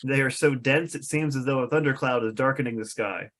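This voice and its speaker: A man's voice: mid-range voice, a little fast, a bit bright. A man narrating stage directions from a fantasy script at a table read.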